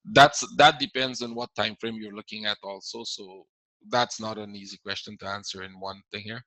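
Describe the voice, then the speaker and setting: A man's voice: fairly low pitch, steady tone, normal speed, bright, forceful tone, no room sound, low-quality recording. Caribbean-accented man hosting a webinar. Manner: explains casually, repeats words, reads out viewer questions. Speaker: trading webinar host. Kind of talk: live webinar Q&A.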